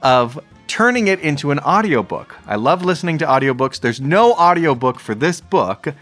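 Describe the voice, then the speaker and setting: A man's voice: on the high side, very animated, normal speed, a bit bright, faint music behind. A man hosting a podcast, talking in a conversational introductory style. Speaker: podcast host.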